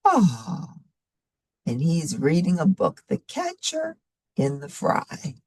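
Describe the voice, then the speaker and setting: A woman storybook reader speaks to young listeners in an expressive, explaining way. Her voice is husky and swings widely in pitch, at an unhurried pace. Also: dry recording, clean studio sound. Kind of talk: expressive children's read-aloud.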